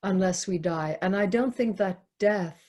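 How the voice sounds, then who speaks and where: A woman's voice: medium pitch, a little slow, darkish tone. A woman leading a reflective discussion with an audience, speaking hesitantly and repeating words and phrases for emphasis.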